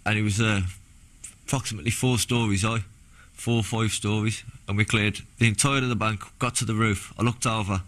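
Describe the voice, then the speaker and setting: Low-voiced young British man, former soldier. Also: steady tone, a little fast, fairly bright tone. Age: young adult. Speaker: former soldier giving testimony. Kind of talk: documentary interview, personal recollection. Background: background music.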